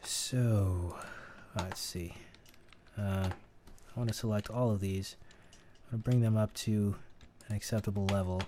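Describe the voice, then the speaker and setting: Male tutor, low voice, casual think-aloud editing walkthrough, faint hiss and clicks. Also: fairly even intonation, unhurried, mellow. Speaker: sound editor teaching. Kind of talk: screen-recorded tutorial.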